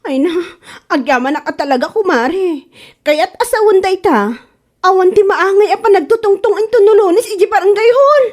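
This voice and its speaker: An actress with an Ilocano accent playing a fretful, gossiping, nagging wife in a radio comedy drama, whiny and exclamatory. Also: female voice, extremely high pitch, expressive, moderate pace, a little mellow. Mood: pleading.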